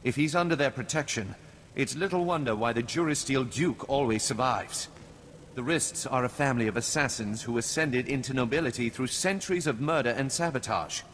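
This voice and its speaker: An actor playing a scheming, formal aristocrat in a video game, speaking in a grave, measured manner. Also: male voice, medium pitch, fairly bright tone, natural voice. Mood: grave, resigned. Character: scheming, formal male aristocrat hiring a killer. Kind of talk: scripted video game acting. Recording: reverberant hall, faint noise.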